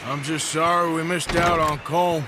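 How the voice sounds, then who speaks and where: An actor playing a loyal but wary American outlaw gunman in a video game, gruff, laconic and plain-spoken. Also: a little high, level tone, normal speed, noisy background, lightly acted. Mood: matter-of-fact. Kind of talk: dramatic video game acting.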